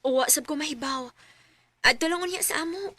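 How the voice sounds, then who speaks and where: Radio drama ingénue: shy young daughter, sharp high voice, Cebuano accent. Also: female voice, fairly quick, no echo. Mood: bashful, pleading. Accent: Filipino, Cebuano. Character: shy, good-hearted young sweetheart, a dutiful daughter. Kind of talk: Filipino radio drama acting.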